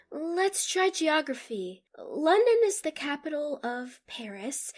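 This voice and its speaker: A woman acts out a storybook little girl with a very high, slow voice. She is bewildered and earnest, musing aloud and chiding herself, with music playing behind her. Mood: confused, fretful. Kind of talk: audiobook character acting.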